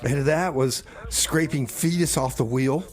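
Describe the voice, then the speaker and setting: A man's voice: fairly high pitch, moderate pace. A man hosting a radio show with casual DJ talk and comic banter.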